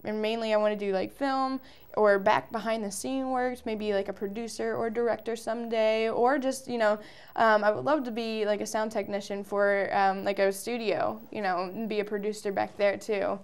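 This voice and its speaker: A woman's voice: medium pitch, steady tone, moderate pace, clean tone. A woman in her early twenties with an American accent, giving chatty, informal answers as a musician guest in an interview. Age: early 20s.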